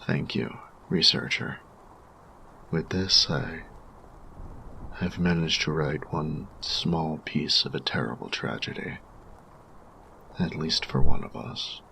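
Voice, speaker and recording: A man playing a grumpy, workaholic artificer in an audio roleplay, tired and reserved, speaking formally and precisely with hesitant pauses in a gravelly voice. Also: fairly even intonation, sharp tone, slight background noise, heavily acted, rough recording. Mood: moved, solemn. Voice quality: gravelly, very low voice. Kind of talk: audio roleplay addressed to the listener.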